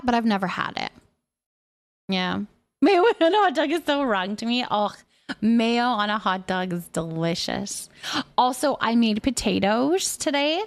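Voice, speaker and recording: A woman chatting casually and playfully as she hosts a livestream and responds to viewers' comments. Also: fairly high pitch. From Canada. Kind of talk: casual chat, reading and answering viewer comments.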